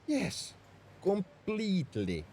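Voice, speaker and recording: A man's voice: sits high, wildly swooping pitch, slow pace, mellow, heavily acted. A man playing a tsundere mech engineer in a roleplay audio drama, scolding and sarcastic with worry underneath. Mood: angry, incredulous. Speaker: voice actor.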